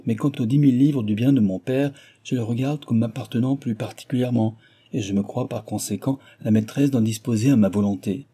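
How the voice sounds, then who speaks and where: A man's voice: mid-range voice, fairly even intonation, brisk, warm tone, breathy edge, close to an everyday voice. A man reading an audiobook and voicing an earnest, proper young heiress who speaks in a formal, firm way. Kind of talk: audiobook dialogue.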